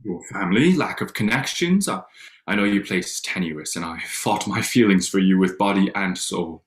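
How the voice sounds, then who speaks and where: A man acts out a proud, wealthy young gentleman of Regency drama. The voice is low and rings in an echoey room. He speaks stiffly and formally, haltingly, like an awkward suitor. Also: animated. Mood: ardent, strained. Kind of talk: staged reading of a period drama. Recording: echoey room over online call.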